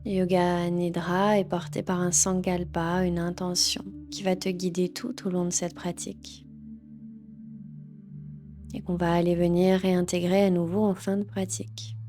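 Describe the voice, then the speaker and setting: A woman teaching yoga nidra, leading a guided relaxation in a gentle, soothing, instructional manner. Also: lowish voice, flat delivery, measured pace. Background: background music.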